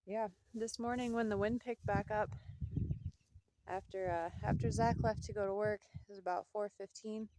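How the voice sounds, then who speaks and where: A woman's voice: medium pitch, steady tone, moderate pace, noisy background. A woman chatting casually to her camera at home, rambling with fillers and repeating herself as she talks. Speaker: vlogger.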